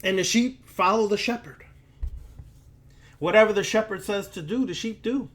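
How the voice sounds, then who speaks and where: A man lecturing like a preacher, speaking deliberately and emphatically, with repetition and rhetorical questions. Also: high voice, fairly bright tone. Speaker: occult lecturer.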